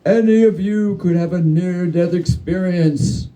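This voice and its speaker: Man putting on a gloomy, slow, higher-pitched nagging ghost. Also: dark tone, low-quality recording. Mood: grim, foreboding. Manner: grim, forlorn, drawn-out complaining. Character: gloomy, reproachful, needy male ghost who nags the living. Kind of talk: dramatic story reading.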